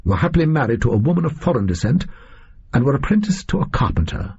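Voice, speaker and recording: A man's voice: mid-range voice, very animated, quick pace, gravelly, warm tone, husky, pitched above the performer's own voice, exaggerated voice. A man playing a haughty, clipped Victorian detective. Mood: cool. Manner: formal, clipped and exacting. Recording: muffled lo-fi recording.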